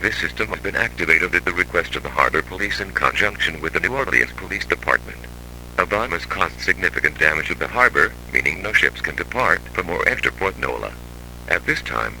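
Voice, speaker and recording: A man's voice: rumbling low, vocal fry, sharp tone, exaggerated voice. A man playing a stern, authoritative emergency alert announcer, reading a formal scripted warning over a noisy broadcast.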